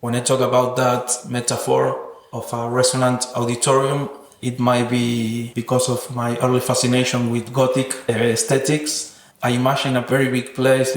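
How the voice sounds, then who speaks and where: Male interview guest, reflective and philosophical artist type, average pitch, noticeable room echo. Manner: thoughtful, earnest, philosophical. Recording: roomy echoing sound.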